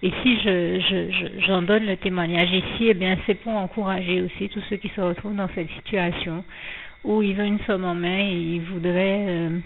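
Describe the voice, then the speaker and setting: Low-voiced woman on a tinny line. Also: steady tone, normal speed, vocal fry, background noise. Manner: hesitant, many fillers and restarts, earnest. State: slight frog in the throat. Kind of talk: anonymous spoken faith testimony.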